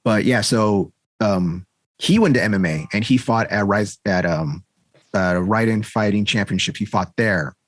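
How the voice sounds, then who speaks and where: Low-voiced man rambling on a podcast. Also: measured pace, no room sound. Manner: rambling, laughs often. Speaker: podcast co-host. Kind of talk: casual chat.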